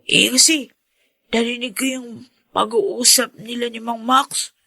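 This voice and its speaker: A woman's voice: on the high side, steady tone, measured pace, creaky, sharp tone, no room sound, clean studio sound, heavily acted. A woman playing an earnest, worried child in a Filipino radio drama, speaking in a hesitant, pleading way. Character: earnest, worried young child Benji, loyal to mother.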